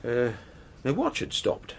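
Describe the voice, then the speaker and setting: A man's voice: mid-range voice, wildly swooping pitch, a little slow, full character voice. A man playing a witness in a radio drama, answering questions in a careful, hesitant, explanatory way.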